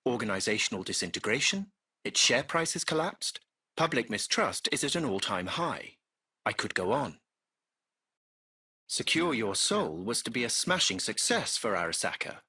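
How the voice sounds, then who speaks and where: A man voicing a servile, impeccably polite artificial intelligence taxi driver in a video game, with formal, ornate, measured diction. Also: medium pitch, vocal fry, sharp tone, breathy edge, heavily acted. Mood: smooth, formal. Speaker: AI driver and concierge.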